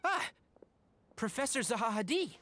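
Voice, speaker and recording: A man's voice: very high voice, big pitch swings, normal speed, sharp tone, slight background noise, exaggerated voice. A man playing a polite, earnest young scholar who takes charge in a video game. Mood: alert. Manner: crisp, articulate, takes charge.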